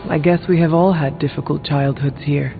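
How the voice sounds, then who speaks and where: A man playing an earnest, curious hero in a video game, speaking in polite, measured, formal phrases. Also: on the high side, steady tone, gravelly. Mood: earnest, probing. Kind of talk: video game dialogue acting. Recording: muffled narrow-band game audio, music behind.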